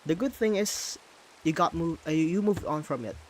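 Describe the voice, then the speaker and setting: A man from the Philippines talks casually in a Filipino accent while building in a game, his voice sitting a little high. He often pauses mid-sentence to find the right English word, since Tagalog is his first language. A faint hiss runs under the recording. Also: expressive. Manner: thinks aloud, pauses to hunt for English words. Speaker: streamer.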